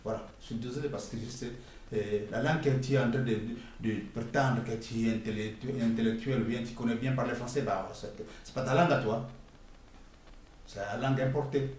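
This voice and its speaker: A man's voice: mid-range voice, darkish tone, slight background noise. Congolese man lecturing from afar outdoors. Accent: Congolese French. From Democratic Republic of the Congo. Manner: emphatic, scolding, halting with frequent pauses. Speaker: vlogger. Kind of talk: casual spoken commentary. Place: outdoors near a construction site. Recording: echoey and distant with faint ambience.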